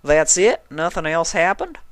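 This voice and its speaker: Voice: mid-range voice, very animated, unhurried, smooth, no echo, pitched above the performer's own voice. A performer voicing a plain-spoken, teasing female cartoon farm pony with folksy, forthright country talk. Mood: concerned, firm.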